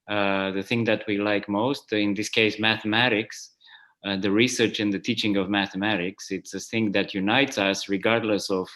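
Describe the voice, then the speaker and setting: A man's voice: fairly low pitch, fairly bright tone. A man in his forties, originally from Romania, giving a plenary math talk over a video call, hesitant with many fillers and restarts. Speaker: guest speaker, math professor. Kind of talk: online conference talk.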